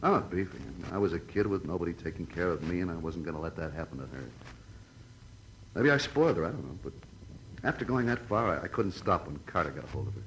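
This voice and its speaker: A man's voice: sits low, lively intonation, fast talker, a bit bright. An actor playing a bitter, defensive, stubbornly protective tough guy in an old-time radio noir, using street talk. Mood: bitter, resigned. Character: defensive, bitter, protective older brother confessing to murder. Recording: noisy old radio drama recording.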